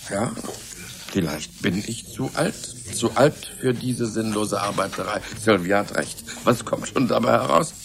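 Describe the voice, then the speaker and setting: A tired older gentleman of science in a German radio play. He has a low, creaky voice and speaks politely, musing with resignation. There is faint noise behind him. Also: unhurried. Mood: weary musing. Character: weary, courteous, resigned ageing gentleman scientist. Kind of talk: German radio drama acting.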